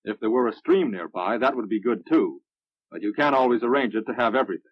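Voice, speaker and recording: An American man reading scripted narration for a military instructional film in a measured, instructive manner. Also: medium pitch, expressive, no room sound. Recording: muffled, dry vintage film soundtrack.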